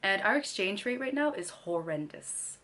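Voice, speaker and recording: A woman's voice: medium pitch, very animated, normal speed, fairly bright tone. A young woman from Canada chatting casually to camera, laughing often between sentences, her throat still a little scratchy. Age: young adult. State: slightly scratchy throat after losing her voice. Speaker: vlogger.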